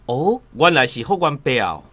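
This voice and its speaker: An actor with a Taiwanese accent playing a polite but insistent restaurant debt collector in a radio drama, businesslike in manner. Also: male voice, mid-range voice, very animated, normal speed, fairly bright tone.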